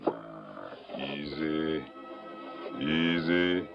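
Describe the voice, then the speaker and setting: A menacing backwoods gunman from an old radio crime drama. His voice is extremely low and drags slowly through stubborn, ungrammatical threats. The vintage recording is dull, with faint music. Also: expressive, vocal fry, exaggerated voice. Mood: wary, halting. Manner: slow, halting, folksy ungrammatical drawl. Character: slow, menacing swamp-dwelling gunman, simple and stubborn. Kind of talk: 1950s radio drama acting.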